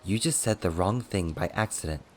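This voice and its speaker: A man's voice: low-pitched, normal speed, a little hiss or hum, close to an everyday voice. Earnest young prince keeping peace, voiced by a man. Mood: placating. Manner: earnest, pacifying.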